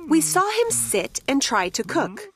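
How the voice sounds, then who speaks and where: Woman reading rhymes, very lively pitch. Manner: expressive, deliberate storytelling for children. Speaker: children's book reader. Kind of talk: read-along picture book narration, rhyming verse.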